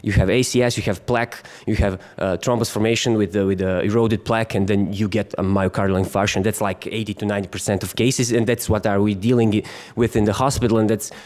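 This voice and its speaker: A man with a Croatian accent lectures at a medical conference with a fairly low voice. He halts often, filling gaps with "uh" and restarting phrases, and now and then jokes with the audience. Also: steady tone, fast, hardly any pauses, a little mellow. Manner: hesitant, many fillers and restarts, jokes with the audience. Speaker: physician lecturer. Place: conference hall.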